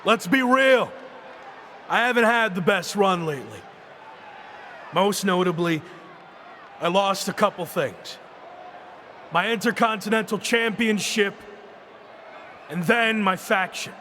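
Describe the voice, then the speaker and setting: A man's voice: high-pitched, slow pace, long pauses, bright, forceful tone, some chatter behind, a little hiss or hum, full character voice. A man playing an aggrieved, confrontational young wrestler, a former champion, in a video game cutscene. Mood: resentful, frank.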